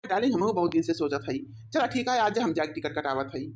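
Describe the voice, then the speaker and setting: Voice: medium pitch, animated, quick pace, muffled recording, slight background noise, close to an everyday voice. A performer voicing a smug, mocking young husband in an Awadhi cartoon comedy, teasing and boastful with an Awadhi accent. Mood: agreeable. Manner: teasing, boastful, rambling mockery.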